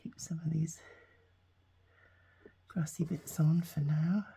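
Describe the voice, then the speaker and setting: A woman hosts a homemade crafting video, her voice hoarse and gravelly from a cold. She talks slowly and haltingly, with lots of ums and restarts, and trails into mutters as she works. Her tone is low, dark and flat. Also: slight background noise. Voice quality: gruff, gravelly. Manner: hesitant, many ums and restarts, mutters while working. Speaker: craft channel host. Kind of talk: casual crafting tutorial.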